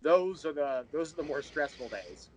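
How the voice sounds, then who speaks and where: A man's voice: on the high side, lively intonation, normal speed, slightly rough, low-quality recording. A man speaking casually as an interview guest, explaining his creative work.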